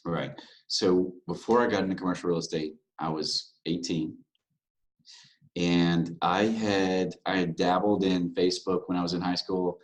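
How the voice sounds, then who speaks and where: A man in his thirties, a broker from Louisiana, answering interview questions in a relaxed, candid way with plenty of fillers. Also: deep voice, unhurried, poor audio. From Louisiana, USA. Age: 30s. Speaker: guest, real estate broker. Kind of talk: podcast interview.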